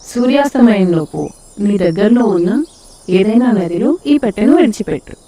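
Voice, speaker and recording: A woman's voice: medium pitch, expressive, moderate pace, mellow. A woman voicing a benevolent, commanding goddess of wealth in a children's cartoon story, proclaiming solemnly. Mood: firm.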